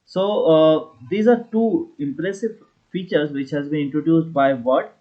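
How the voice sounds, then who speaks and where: A man giving a casual step-by-step software tutorial as an instructor. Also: fairly high pitch, measured pace. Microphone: voice-over for screen recording. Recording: dull lo-fi voice recording.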